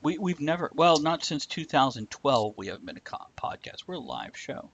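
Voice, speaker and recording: A man's voice: on the high side, sing-song, fairly quick, a bit bright, no room sound. A man hosting a live show in casual, irreverent chat, swearing freely as he goes. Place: room, live stream. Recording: muffled, with clear background noise.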